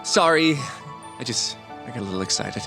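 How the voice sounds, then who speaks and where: A man's voice: medium pitch, expressive, brisk, creaky. An actor voicing an earnest, flustered, protective young man in love with his coworker, in a video game dub. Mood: gushing. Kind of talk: English game dub acting. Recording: music playing behind the voice.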